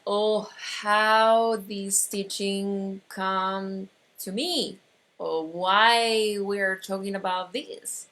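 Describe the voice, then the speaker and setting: A woman from Argentina with an Argentine Spanish accent and Spanish as her first language, teaching an online course with slow explanations, frequent pauses and restarts. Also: mid-range voice, fairly bright tone. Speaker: metaphysics teacher.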